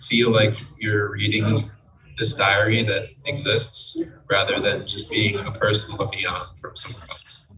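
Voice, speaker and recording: A man's voice: on the low side, flat delivery, a little slow. A man explaining his work as a game programmer and writer in an interview. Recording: distant mic with crowd chatter behind.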